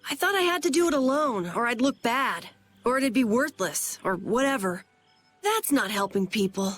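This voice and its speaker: An eager young boy from a game, high-pitched and very animated. He talks in a plain, earnest, boyish way. Soft music plays behind him. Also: normal speed. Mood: earnest, reflective. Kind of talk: video game dub acting.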